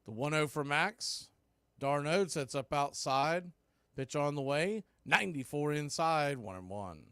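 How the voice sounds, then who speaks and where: A man calling baseball play-by-play in a relaxed, chatty way with wry asides. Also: fairly high pitch, a little slow, smooth, dry recording, studio quality. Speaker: play-by-play announcer.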